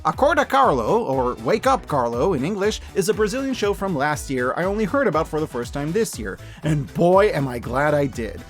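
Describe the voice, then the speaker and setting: A high-voiced man delivers scripted review commentary with lots of swooping intonation. He is emphatic and given to playful exaggeration and jokey asides. Light music plays behind him. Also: very animated, moderate pace, few pauses. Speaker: host reviewing animated shows and media. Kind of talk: scripted video-essay commentary. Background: background music.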